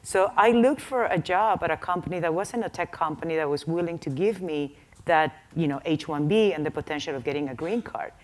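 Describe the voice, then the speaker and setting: A woman's voice: deep voice, a little fast, hardly any pauses. A woman on a conference panel, a tech manager who came as an international student, giving direct, encouraging advice. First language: not English. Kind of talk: panel discussion.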